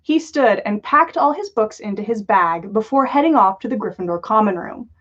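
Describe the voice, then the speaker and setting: A woman's voice: mid-range voice, expressive, moderate pace, fairly bright tone. A woman reading a story aloud as a livestream host, with lo-fi sound. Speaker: podcast host reading fan fiction aloud. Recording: dull lo-fi livestream audio.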